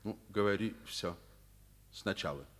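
An actor playing a stern but passionate middle-aged school director, earnest and insistent, in a Soviet radio drama. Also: male voice, on the low side, measured pace, fairly bright tone. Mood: grave. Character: stern, passionate male school director defending his pupil.

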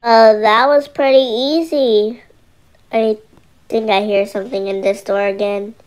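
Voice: medium pitch, fairly even intonation, unhurried, smooth, lightly acted. A performer voicing a scared young boy trapped in nightmares, talking to himself in casual slang in an amateur horror roleplay. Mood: wary, muttering.

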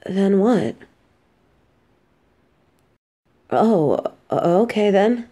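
A woman playing a casual, affectionate, teasing supervillain who gets flustered, speaking haltingly and expressively in an audio drama. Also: medium pitch, very animated, dark tone, slight background noise. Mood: tired, puzzled. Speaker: voice actress in an audio roleplay. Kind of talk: intimate scripted audio drama addressed to a listener.

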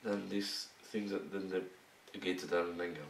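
A man with a hoarse, fading voice giving a step-by-step painting tutorial in halting, broken phrases. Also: deep voice, steady tone. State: hoarse, losing his voice. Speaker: miniature painting instructor. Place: indoor hobby painting desk. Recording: roomy, distant mic with faint noise.

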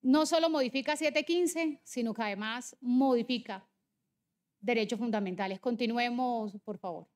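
A woman's voice: on the high side, moderate pace, a little mellow. A woman in her forties with a Barranquilla Caribbean Colombian accent, delivering an insistent, emphatic political debate speech as a legislator. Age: 40s.

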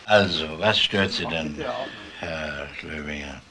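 An actor playing a calm police detective who asks short, probing questions in a crime radio drama. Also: male voice, a bass voice, slow pace, noisy background. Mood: businesslike. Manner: terse, questioning.

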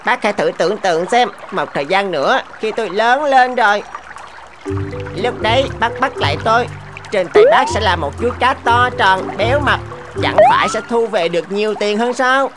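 A woman voicing a small, cunning, sweet-talking goldfish in an animated fable, coaxing and expressive. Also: fairly high pitch, moderate pace, over loud music.

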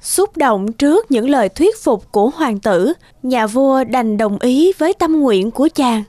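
A woman's voice: high-pitched, animated, a little slow, a little mellow, dry recording, clean studio sound. A woman giving scripted narration of a fairy tale as the storyteller. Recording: music behind.

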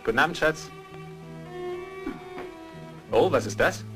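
A man's voice: fairly high pitch, animated, slow, a bit bright, soft background music. A man playing a wry, discouraged writer husband in a German audio drama, dry and sardonic. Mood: playful.

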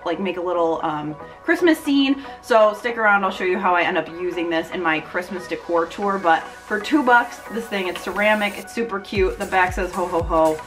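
A woman's voice: mid-range voice, a bit bright, poor audio. Enthusiastic woman vlogger over background music. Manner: enthusiastic, gushing. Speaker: home decor vlogger. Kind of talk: casual shopping haul chat.

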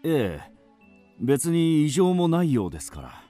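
An actor playing a courteous, guarded police detective in a radio drama, speaking formally and politely. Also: male voice, fairly high pitch, wildly swooping pitch, measured pace, dark tone, faint music behind.

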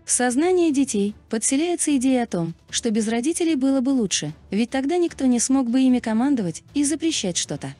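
Lively, high-pitched woman narrator. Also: brisk, few pauses, soft background music. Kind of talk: scripted video narration.

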